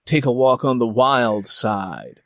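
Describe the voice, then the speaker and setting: Guy hosting a chat, medium pitch, rambly storyteller, says you know a lot, no room sound. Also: lively intonation, slow pace, a bit bright, no echo, studio quality. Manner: meandering, frequent "you know". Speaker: interviewer and host. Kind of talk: casual interview with personal storytelling.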